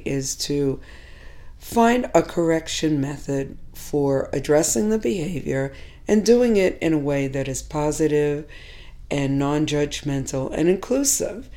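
A middle-aged woman with a hoarse voice, giving a measured instructional talk to teachers, pausing now and then. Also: low voice, expressive, dark tone. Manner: measured, reflective, with pauses and fillers. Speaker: teacher educator.

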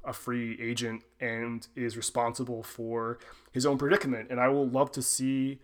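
A man's voice: mid-range voice, little pitch movement, moderate pace. A man co-hosting a podcast, reasoning through theology in a casual, hedging way. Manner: thoughtful, hedging with fillers. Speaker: podcast co-host. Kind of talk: casual scholarly discussion.

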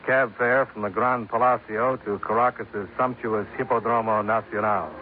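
An actor playing a cool, tough American investigator, clipped and sardonic, in 1950s radio detective style. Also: male voice, lowish voice, steady tone, normal speed, close to an everyday voice. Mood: wry, matter-of-fact. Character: cool, wry, tough male insurance investigator. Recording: noisy, narrow-band 1950s radio recording.

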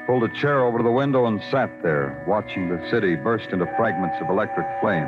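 An actor playing a sardonic, world-weary New York police detective in a 1950s radio crime drama, deadpan and clipped. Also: male voice, on the low side, natural voice. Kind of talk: 1950s radio crime drama, first-person narration and dialogue. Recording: muffled old radio recording. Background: music.

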